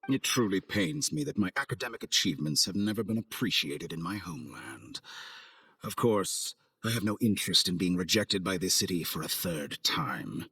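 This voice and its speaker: An actor playing an arrogant villain scientist in a game, with a measured, condescending, theatrical delivery. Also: male voice, low-pitched, animated, sharp tone, slightly rough, no room sound, heavily acted. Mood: sly, persuasive. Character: suave, arrogant male villain scientist, coldly amused schemer. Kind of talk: video game cutscene acting. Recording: studio voice over game music.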